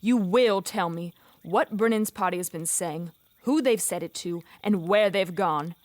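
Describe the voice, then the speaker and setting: A woman's voice: fairly low pitch, a little slow, a little mellow, no echo, natural voice. A woman playing a stern, menacing military commander in a fantasy audio drama, clipped and formal.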